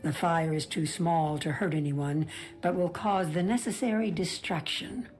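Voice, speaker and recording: A woman's voice: fairly low pitch, fairly even intonation, normal speed, a little mellow, faint music behind, lightly acted. A woman reading scripted game narration.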